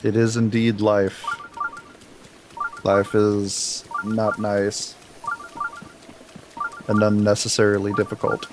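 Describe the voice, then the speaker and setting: A man with a deep voice streaming a game, chatting candidly and easily with viewers while he plays, close to his mic. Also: monotone, slow, pauses often, noisy background. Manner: candid, laid-back, talks to chat between game moments. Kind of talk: casual live-stream chat while playing. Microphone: close desk mic. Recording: game music audible under the voice. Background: game music.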